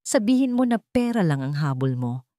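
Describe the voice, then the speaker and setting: A woman's voice: mid-range voice, very animated, normal speed, mellow, no room sound, studio quality, close to an everyday voice. A woman voicing an arrogant wealthy man who sneers and threatens. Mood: menacing.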